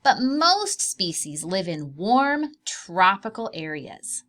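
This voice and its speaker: A woman's voice: medium pitch, big pitch swings, takes it slowly, a bit bright. A woman preschool teacher reading aloud to young children, explaining things simply, asking questions and drawing out key words.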